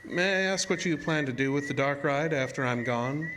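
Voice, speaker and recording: An actor playing a politely menacing carnival illusionist in a horror audio drama, speaking in a formal, measured, mock-courteous way. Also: male voice, a little high, a bit bright, slight background noise, lightly acted, rough recording. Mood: coolly polite. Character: sinister, politely menacing male carnival dark-ride illusionist.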